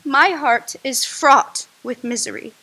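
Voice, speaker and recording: A woman playing a young woman who ends her engagement, grave, slow and emotional in radio drama acting. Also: high voice, bright, forceful tone, heavily acted. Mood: sorrowful, resolute.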